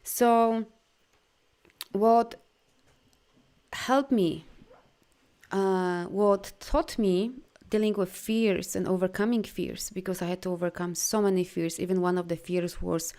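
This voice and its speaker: A woman's voice: medium pitch, steady tone, mellow, clean tone. Earnest woman with a Polish accent. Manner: earnest, heartfelt, halting non-native phrasing. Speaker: life coach. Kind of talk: live video talk.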